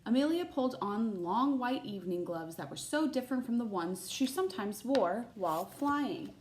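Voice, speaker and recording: A woman reads a storybook aloud as a teacher would, with very animated pitch. She speaks to young listeners and invites them to wonder along. The room around her voice is noticeably echoey. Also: normal speed, a little hiss or hum. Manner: addresses young listeners with questions. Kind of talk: expressive read-aloud of a children's picture book with chatty asides.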